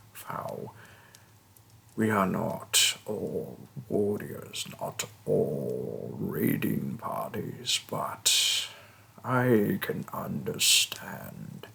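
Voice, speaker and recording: Man voicing a slow, gravelly, gentle orc shaman. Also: exaggerated voice. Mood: patient, understanding. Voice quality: gravelly, husky, breathy low voice. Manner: slow, deliberate, halting, calmly reassuring. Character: hulking, gentle, patient male orc shaman healer. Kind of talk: fantasy roleplay audio acting, addressing the listener.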